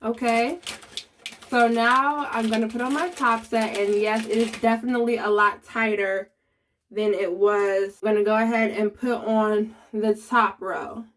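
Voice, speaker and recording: Woman vlogger, slight lisp, unhurried and higher-voiced, chats straight to camera. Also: steady tone, fairly bright tone. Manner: chatty, addresses viewers directly. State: slight lisp from new aligners. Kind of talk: casual how-to vlog.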